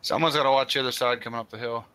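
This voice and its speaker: A man's voice: medium pitch, fairly even intonation, racing, pushed and bright, clean tone. A man making casual, blunt and often profane team callouts while playing an online game. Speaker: gamer in a squad stream.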